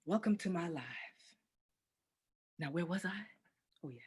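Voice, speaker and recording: A woman playing a serene spiritual sister preaching hope in a measured poetic recitation, with a husky voice. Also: on the low side. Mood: serene, solemn.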